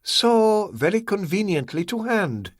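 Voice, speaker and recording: A man voicing a suave, soft-spoken crime boss who threatens with quiet courtesy in an audiobook story. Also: high-pitched, sing-song, measured pace, dry recording, studio quality, voice pushed up. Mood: gently mocking. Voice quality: smooth, silky, quietly threatening.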